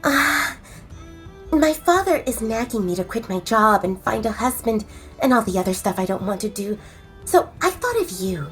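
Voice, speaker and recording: A woman's voice: on the high side, big pitch swings, normal speed, fairly bright tone, faint music behind. A woman voicing a blunt, confident young she-wolf fashion model in an audio drama, speaking directly and persuasively.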